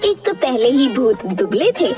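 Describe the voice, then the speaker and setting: Casting: female cartoon-story narrator, highish animated voice, slightly distant with music underneath. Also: a little slow.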